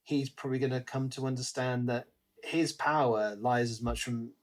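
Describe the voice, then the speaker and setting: A man's voice: mid-range voice, steady tone, normal speed. Man chatting casually about a book. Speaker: podcast co-host.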